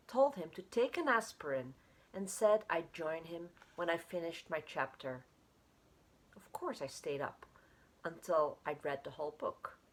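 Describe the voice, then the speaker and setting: A woman reading her own literary short story aloud in an expressive way. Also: sits low, rough recording.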